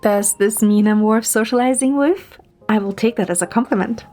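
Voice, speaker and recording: A woman's voice: medium pitch, normal speed, faint music behind, lightly acted. A woman acting a playful, chatty, curious young woman who teases her friend, in an amateur audio drama. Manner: teasing, animated, expressive.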